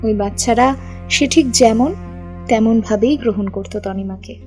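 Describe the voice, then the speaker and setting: A woman's voice: a little high, a little slow, a little mellow. A woman reading a scripted audio story as its narrator. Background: background music.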